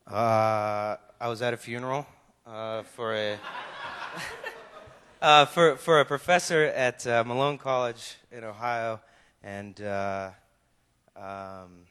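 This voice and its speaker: A man's voice: mid-range voice, fairly even intonation, slow, pauses often, fairly bright tone, smooth, big-room sound. A young man telling his story hesitantly, trailing off, recorded from a distant mic. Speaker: graduating student.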